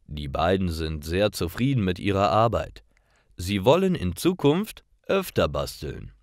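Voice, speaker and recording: A man reads simple stories for language learners. His voice is fairly low and very slow, with lively intonation. Each sentence is carefully set apart. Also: dry recording, studio quality. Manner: careful, clearly separated sentences. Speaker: narrator. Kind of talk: scripted story narration for language learners.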